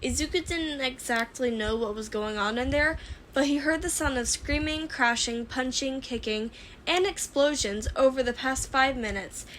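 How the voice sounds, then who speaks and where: A woman reading fan fiction aloud as an expressive story narrator. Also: sits high, normal speed, poor audio. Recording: clear background noise.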